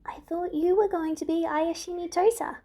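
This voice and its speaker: A woman's voice: very high-pitched, steady tone, normal speed, mellow, pitched above the performer's own voice. A woman voicing a timid, curious, wide-eyed little girl in a story reading. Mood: whispered wonder.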